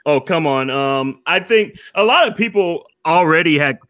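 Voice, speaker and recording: A man's voice: on the high side, expressive, a bit bright. An adult man co-hosting a casual podcast, playful and easygoing, laughing often. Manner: playful, slow and drawn-out, laughs a lot. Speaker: podcast co-host doing voice impressions.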